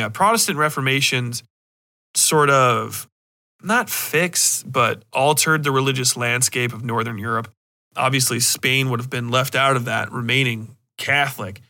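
A man's voice: medium pitch, sharp tone. A man hosting a podcast, chatting in a casual, rambling way with emphatic swearing. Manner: profane, emphatic. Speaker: podcast host.

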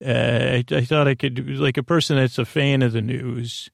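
A man's voice: mid-range voice, fairly even intonation, moderate pace, vocal fry, no room sound, studio quality. A man hosting a bedtime podcast in a rambling monologue, hesitant and meandering, often losing his thread and doubling back. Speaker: podcast host.

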